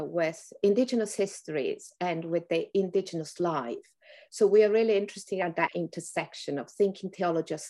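Italian-accented woman, lowish voice, thoughtful. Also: a little mellow. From Northern Italy. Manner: thoughtful, searching for words with frequent ums. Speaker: podcast host, anthropology professor. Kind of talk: podcast introduction.

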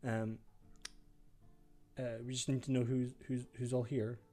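A man's voice: mid-range voice, flat delivery, measured pace, dark tone, close to an everyday voice. A man voicing a tired, disheveled older guard captain who stammers and hesitates while staying politely suspicious. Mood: defensive.

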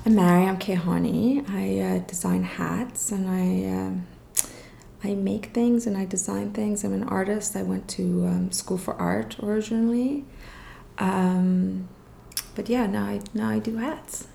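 Woman from Iran, interview guest, halting speech, gravelly voice, roomy echo. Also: mid-range voice, fairly even intonation, warm tone. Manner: hesitant, many ums and restarts. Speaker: guest, artist and hat designer. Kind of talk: casual interview talk. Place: studio surrounded by hats.

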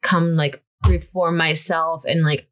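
Young woman podcast cohost, lowish voice, rambly chatty, says like a lot. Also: steady tone, unhurried. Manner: rambling, many "like" fillers. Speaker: podcast co-host. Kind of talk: casual chat.

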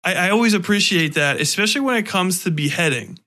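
A man hosting a casual interview, talking in an animated, freely swearing way and acting out little sound effects. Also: sits high, quick pace. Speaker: podcast host interviewing a guest.

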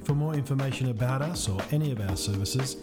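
A man reading a calm, scripted outro for a church podcast. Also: medium pitch, quick pace. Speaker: podcast announcer. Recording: heavy music underneath.

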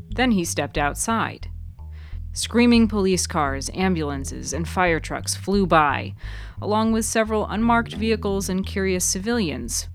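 A woman reading scripted fiction as its narrator, in a measured, deliberate storytelling manner. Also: sits low. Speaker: author and narrator of a fiction podcast.

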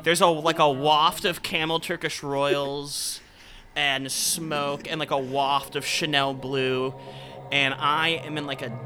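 A man's voice: fairly high pitch, little pitch movement, slow, bright, forceful tone, faint music behind. A man casually improvising as a player in an audio role-playing game.

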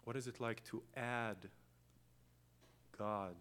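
A man from Israel with an Israeli accent, a native Hebrew speaker, giving a slow, reflective guest sermon in a church. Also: medium pitch, fairly even intonation, smooth. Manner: slow, reflective, with long pauses and soft trailing phrases. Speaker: guest preacher. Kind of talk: meditative sermon with chanted Hebrew opening.

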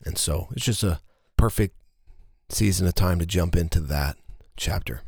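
A man speaking as a pastor in a casual podcast chat, with a deadpan, joking manner. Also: low voice, normal speed, vocal fry, slightly rough, no room sound, clean studio sound.

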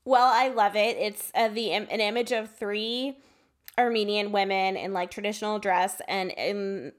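A woman's voice: medium pitch, fairly even intonation, normal speed. A woman co-hosting a podcast in casual conversation, speaking in an informal, playful and effusive way. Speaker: podcast co-host.

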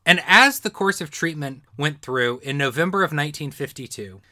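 Man, history presenter, bright crisp edge, mid pitch, emphatic storytelling, dry sound. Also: animated, normal speed, no room sound. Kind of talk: narrated history talk, semi-improvised storytelling.

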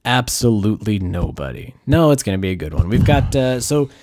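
A man's voice: fairly low pitch, big pitch swings, brisk, a little mellow, a little hiss or hum. A man co-hosting a casual podcast chat, a bit nasal from a cold, rambling loosely and laughing often. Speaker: podcast co-host.

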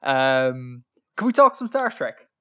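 A man's voice: mid-range voice, big pitch swings, takes it slowly, a bit bright, clear, resonant tone. A man co-hosting a podcast in casual chat, talkative and self-deprecating, with plenty of ums. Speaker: podcast co-host.

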